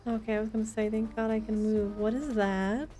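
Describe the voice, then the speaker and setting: A woman's voice: a little high, steady tone, brisk, warm tone. A woman chatting casually and expressively as she plays a game live, with a playful manner. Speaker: game streamer. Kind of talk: casual live gameplay commentary. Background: game music and sounds.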